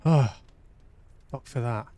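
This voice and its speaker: A man's voice: medium pitch, slow pace, warm tone. Mancunian man casually streaming a game. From Manchester, UK. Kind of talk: casual live game commentary, reading prompts aloud. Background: faint game music.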